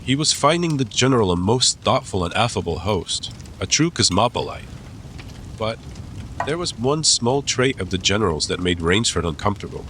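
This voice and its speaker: A man's voice: fairly low pitch, sing-song, normal speed, fairly bright tone, slight background noise. A man narrating a dramatized classic story as an audiobook, reading scripted literary prose.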